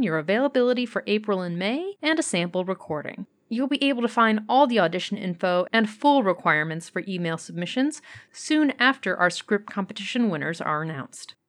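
A woman's voice: medium pitch, fairly bright tone. A woman presents scripted podcast announcements as the host. Her voice sits in a middle register.

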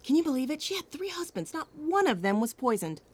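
A woman's voice: high-pitched, very animated, a little fast, dry recording. An actress playing a frazzled, sardonic event planner in an audio rom-com, exasperated and dramatic in her delivery. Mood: wry, gossipy. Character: frazzled wry woman event planner in holiday crisis. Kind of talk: audio comedy acting, rom-com radio play.

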